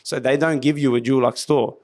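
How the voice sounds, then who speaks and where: An Australian man with a slightly squeaky voice, pitched in the middle for a man, tells stories as a podcast guest. He talks casually, acts out other people's lines and often trips into quick restarts. He is speaking into a podcast microphone. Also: fairly even intonation, a little mellow. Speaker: podcast guest, business founder. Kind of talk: casual storytelling interview.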